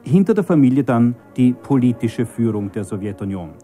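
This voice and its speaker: A man's voice: mid-range voice, lively intonation, a little fast, mellow, soft background music, natural voice. A man speaking as a solemn news correspondent, giving a formal report on state events.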